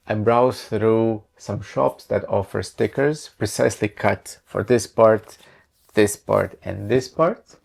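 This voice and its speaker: A man's voice: lowish voice, vocal fry. A man in his thirties from Croatia, narrating his creative vlog in a wry, self-mocking way. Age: 30s. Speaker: creative channel host.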